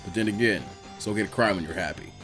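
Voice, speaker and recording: Man voicing a low, weary ninja mentor. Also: fast, fairly bright tone, faint music behind, natural voice. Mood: calm, frank. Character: gruff, weary, laid-back adult male ninja mentor. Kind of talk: dramatic fan fiction character reading.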